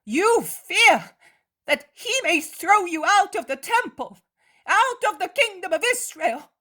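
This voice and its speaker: An anguished, guilt-torn man cries out in a high, swooping voice, ranging wildly as he pleads and accuses, as a woman reads him aloud. He speaks slowly, leaning hard on each word. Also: sing-song, full character voice. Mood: furious. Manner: impassioned, shouting.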